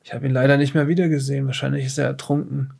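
A man playing an evasive middle-aged professor with a shady past, halting and rambling, in an improvised audio drama interrogation. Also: medium pitch, flat delivery, gravelly, a little mellow, slightly rough. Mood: confused, recollecting. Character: evasive forty-year-old professor with a safecracker past.

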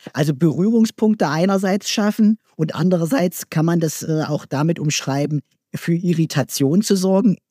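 A man's voice: on the high side, mellow, no room sound, clean studio sound. A man interviewing, summing up the answers in long, academic sentences. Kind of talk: conversational interview.

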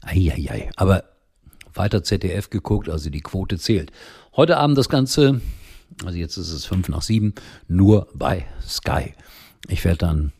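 An older man hosting a casual daily football talk show, chatty and rambling with lots of fillers, a little hoarse from a cold. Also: deep voice, very animated, a little slow, a little mellow. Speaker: football commentator and show host.

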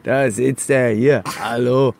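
A man's voice: mid-range voice, steady tone, takes it slowly, vocal fry, noisy background. A man co-hosting a casual, humorous football podcast, telling anecdotes in a joking, sarcastic way. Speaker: podcast co-host.

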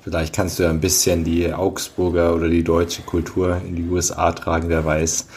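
A man's voice: sits low, completely flat delivery, normal speed, background noise. A male host asking interview questions in a friendly, structured way.

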